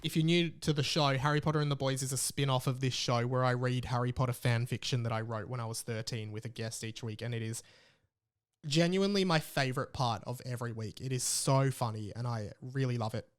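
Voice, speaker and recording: A man's voice: mid-range voice, fairly quick. An Australian man hosting a solo podcast in a casual, rambling and informal way, with exasperated asides. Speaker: podcast host.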